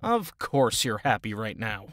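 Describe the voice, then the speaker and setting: A woman's voice: a bass voice, big pitch swings, unhurried, exaggerated voice. A woman playing a skeptical, wry and loyal adventurer in a fantasy audio drama.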